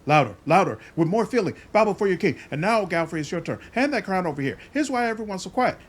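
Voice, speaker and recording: A man voicing a boastful, scrappy young rascal who talks loud and fast. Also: high-pitched, sing-song. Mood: bossy.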